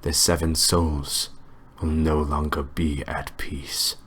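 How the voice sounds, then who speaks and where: A man voicing a scary, menacing spectral scientist from a video game, drawn-out and hushed. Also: little pitch movement, breathy edge, heavily acted. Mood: hushed menace. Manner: low, drawn-out, hushed ominous delivery. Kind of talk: video game villain monologue.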